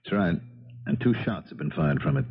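An actor playing a steady, probing police investigator in a 1970s radio drama. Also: male voice, low voice, brisk, creaky, breathy edge, full character voice. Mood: probing, grave. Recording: dull vintage radio recording.

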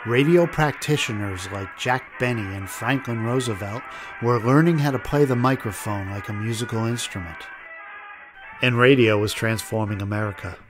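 A man hosting a podcast with scripted documentary narration. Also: mid-range voice. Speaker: podcast host.

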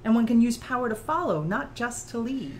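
A woman's voice: fairly high pitch, lively intonation, brisk, a little mellow, slight background noise, lightly acted. A woman performing an analytical, probing author character in audiobook dialogue. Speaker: audiobook reader.

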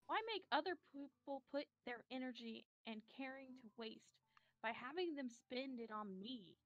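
A woman voicing a depressed, self-effacing teenage schoolgirl in a slow, sorrowful staged reading of a visual novel. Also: sits high, fairly bright tone, muffled recording. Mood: sad, withdrawn.

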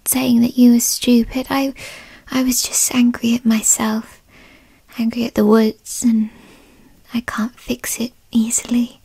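A woman acts out a bratty female fox spirit in an ASMR roleplay, sulky and insecure. The voice is a breathy, husky whisper on the higher side, very close and dry. Words come slowly and huffily, often drawn out. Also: flat delivery, dark tone, no room sound, heavily acted. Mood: apologetic, insecure. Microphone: close microphone in a dry space.

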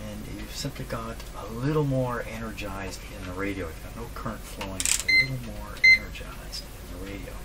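A man's voice: on the low side, background noise. A man explains things casually at a workbench, musing aloud and asking himself questions. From Canada. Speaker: host, radio repair hobbyist. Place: small cluttered indoor electronics workshop.

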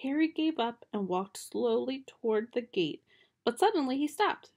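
A young woman reading a children's picture book aloud at home in a gentle storytime manner, recorded on a close webcam in a small bedroom. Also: on the high side, lively intonation, normal speed.

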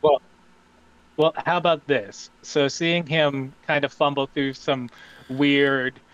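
A man playing a tabletop game, relaxed, describing his character's actions. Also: mid-range voice, takes it slowly, clean tone, dull audio. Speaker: player.

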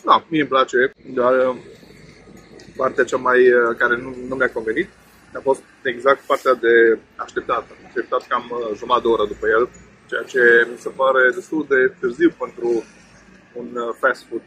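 A man's voice: mid-range voice, low-quality recording. A man in his thirties casually reviewing food outdoors, chatting with frequent fillers while he eats. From Romania. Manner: relaxed, chatty, many fillers while eating. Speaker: food vlogger. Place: outdoor table beside a main road. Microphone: camera on table in front. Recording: faint road noise outdoors. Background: passing traffic and outdoor ambience.

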